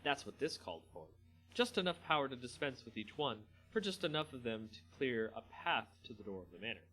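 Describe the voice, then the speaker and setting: A man hosting a dramatic story reading, expressive in delivery and now and then stumbling and correcting himself. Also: medium pitch, a little fast, husky, low-quality recording. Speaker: host reading a fantasy story aloud.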